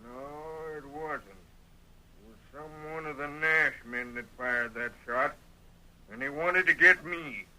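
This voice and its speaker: An actor playing a shrewd, gruff old rancher patriarch with a folksy American drawl, in 1930s radio Western style. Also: male voice, a little high, sharp tone, heavily acted. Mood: grim, recollecting. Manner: folksy rustic dialect, drawn-out storytelling. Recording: tinny vintage 1930s radio recording.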